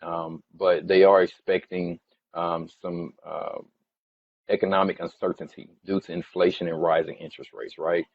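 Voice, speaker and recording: Man, very deep voice, halting plain-spoken analyst commentary, informal presenter, muffled lo-fi recording. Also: little pitch movement. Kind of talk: informal explanatory commentary over earnings slides. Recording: dull, lo-fi voice recording.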